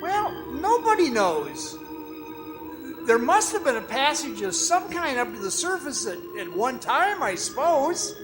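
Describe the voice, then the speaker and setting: Man acting breezy, lively young mine guide. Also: extremely high pitch, unhurried, music in the background, heavily acted. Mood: excited. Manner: folksy, breezy, coaxing. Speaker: actor and narrator in a radio drama. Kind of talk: old-time radio drama acting, part narration, part dialogue.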